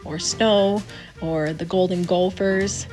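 A woman's voice: on the low side, fairly even intonation, takes it slowly, darkish tone, faint music behind. A woman caller with a Minnesota accent, explaining plainly.

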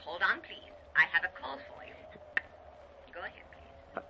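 An actress playing a businesslike yet cryptic telephone operator in a radio play. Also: female voice, on the low side, animated, moderate pace, creaky, sharp tone, full character voice. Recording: dull lo-fi radio recording, background hiss.

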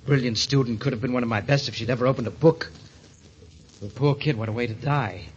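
An actor playing a tormented, obsessive newlywed professor on the edge of madness, halting and repeating himself in an old radio thriller style. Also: male voice, medium pitch, lightly acted. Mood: grieving, shaken. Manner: halting, repetitive, anguished. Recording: muffled, hissy old radio recording.

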